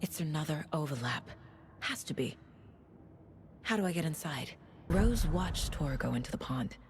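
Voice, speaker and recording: A woman's voice: sits low, expressive, moderate pace, noisy background. A woman playing a blunt, determined FBI agent in a video game, terse and probing. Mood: focused, brooding. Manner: terse, probing, matter-of-fact. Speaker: lead character in a video game. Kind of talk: cinematic game acting, with inner monologue.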